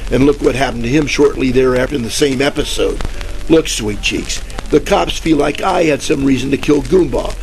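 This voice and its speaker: A man's voice: medium pitch, normal speed, gravelly, a little mellow, soft background music, lightly acted. A man playing a wisecracking hardboiled private detective with slangy, wry tough talk in a parody of old radio detective dramas. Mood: tough, threatening. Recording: crackly old-time radio recording.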